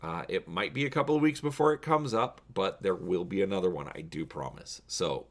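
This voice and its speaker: A man's voice: low-pitched, expressive, a little fast. A man hosting a story read-along, addressing viewers directly in a casual, emphatic way and reading narration aloud. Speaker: host reading a choose-your-own-adventure story.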